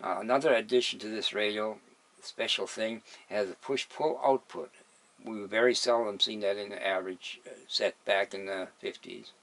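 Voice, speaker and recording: A man's voice: on the low side, moderate pace, fairly bright tone. An older man giving an unscripted, hesitant demonstration as a hobbyist explaining his equipment in plain terms. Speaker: hobbyist radio restorer demonstrating a set. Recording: noisy home video with background hiss.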